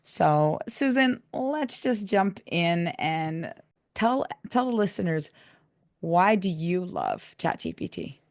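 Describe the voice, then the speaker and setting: A woman hosting a podcast in casual chat, her voice raspy and hoarse from laryngitis, chatty and laughing often. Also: fairly low pitch, very animated, slow, no echo, clean studio sound. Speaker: podcast host.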